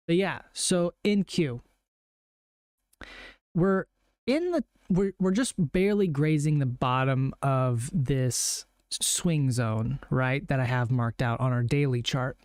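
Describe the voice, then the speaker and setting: A young man with a hoarse, worn-out voice, talking casually as he walks through a trading plan on a live stream, with plenty of ums and rights. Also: mid-range voice, darkish tone, no room sound, studio quality. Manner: laid-back, chatty, lots of ums and rights. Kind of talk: casual live market walkthrough.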